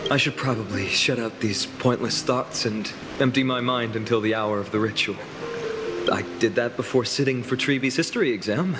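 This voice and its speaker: An actor playing an earnest young male hero in a game, sincere and reflective, with quiet self-doubt. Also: medium pitch, moderate pace, over music, close to an everyday voice. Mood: wry, reminiscing. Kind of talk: dramatic video game dialogue.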